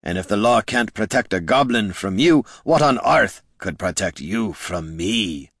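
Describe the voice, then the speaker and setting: A gruff, blunt male hero who growls his words plainly and defiantly, as a storybook reader puts him on. The voice sits a little above the reader's own, and the recording is close and dry. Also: fairly low pitch, expressive, unhurried, a bit bright, no room sound, heavily acted. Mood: growling.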